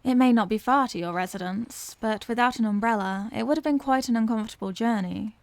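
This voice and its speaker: Woman playing a high-voiced genteel Victorian vampire lady. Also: fairly quick, dry recording, close to an everyday voice. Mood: gracious, inviting. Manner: formal, polite, old-fashioned genteel phrasing. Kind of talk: ASMR audio roleplay, scripted character acting.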